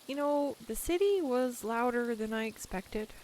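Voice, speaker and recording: A woman's voice: on the high side, lively intonation, a little mellow, a little hiss or hum, low-quality recording. A young woman acting a tender, nervous childhood friend come home, in an intimate, hesitant audio roleplay monologue. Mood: wistful, reflective. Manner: hesitant, reflective, confiding, with pauses. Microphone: close USB desktop microphone.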